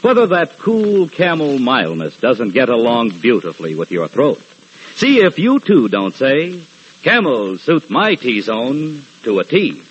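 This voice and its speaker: Old radio ad guy, swoopy lively delivery, husky, dull lo-fi sound. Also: medium pitch, a little slow. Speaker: radio announcer and sponsor spokesman. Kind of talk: 1940s radio advertising pitch.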